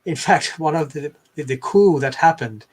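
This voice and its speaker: A man's voice: fairly high pitch, normal speed, a little mellow, breathy edge. A man from Bangladesh in his fifties, a scholar and podcast guest, telling his life story in a thoughtful, rambling way full of digressions. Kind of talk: reflective interview storytelling.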